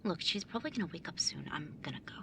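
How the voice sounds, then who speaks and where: A woman playing a bookish, high-strung young mage student in an audio drama, sarcastic and emphatic in a naturalistic way. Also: expressive, rapid-fire, gravelly, whispered. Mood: awkward, hushed.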